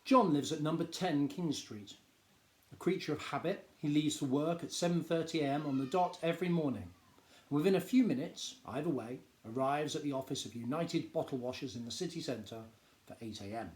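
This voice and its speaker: A man reading a popular non-fiction book aloud like a teacher, explaining in a measured, expressive way. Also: on the high side. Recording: echoey, roomy sound.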